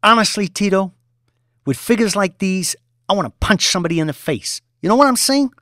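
A volatile young boss who bellows and curses, voice jumping high and wide, as a male storyteller puts him on. His tone swings wildly from line to line, and the recording is close and dry with no room sound. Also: very animated, moderate pace, no echo. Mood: raging. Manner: shouting, swearing, aggressive New York street talk.

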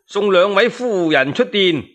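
A commanding, scheming palace official acted by a male storyteller in a historical radio tale. He speaks Cantonese-accented lines with curt authority and a widely moving pitch. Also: animated, measured pace, a bit bright. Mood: curt, urgent.

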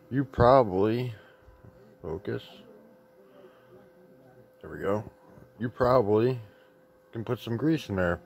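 A man's voice: mid-range voice, a little mellow. A man giving a casual hands-on repair tip in a rambling, plain-spoken, mildly profane way. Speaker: chainsaw repair tip presenter.

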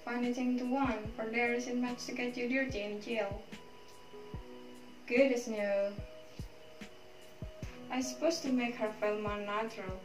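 A woman's voice: fairly high pitch, fairly even intonation, a little slow, long pauses, lightly acted. A young woman playing a timid, dutiful sheriff's wife in an amateur stage drama. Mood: hesitant. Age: young adult. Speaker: student actor in a class play. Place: small bare dim room indoors. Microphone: far camera. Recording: echoey distant room recording, music behind.

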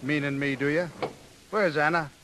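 An actor playing a brash, lovestruck young Irish sailor with an ardent lilt in a 1930s radio play. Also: male voice, fairly high pitch, fairly even intonation, normal speed, sharp tone. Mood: defiant. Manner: ardent, lilting, boastful. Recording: hissy, noisy 1930s radio broadcast.

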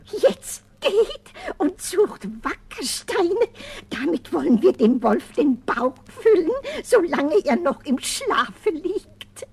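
Very high-voiced old mother goat. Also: very animated, takes it slowly, creaky, a little mellow, full character voice. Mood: resolute. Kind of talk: 1970s radio play acting.

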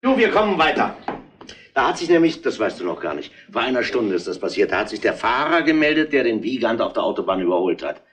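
An actor playing a shrewd middle-aged police inspector in a retro crime drama, dry, probing and mock-polite, on a noisy old soundtrack. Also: male voice, mid-range voice, big pitch swings, quick pace, fairly bright tone. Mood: brisk, keen.

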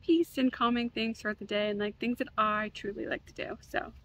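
Chatty young woman vlogging, bright high voice. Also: brisk, slight background noise. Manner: relaxed, chatty, heavy use of "like". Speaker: lifestyle vlogger. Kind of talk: casual vlog narration. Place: outdoors in strong wind, then at home.

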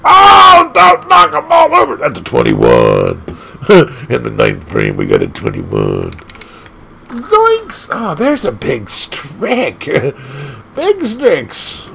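A man casually commenting on an arcade game as he plays, excitable and laughing often, leaping from squeaky highs to low growls. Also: drawn-out words, gravelly, slight background noise. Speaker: gaming channel host.

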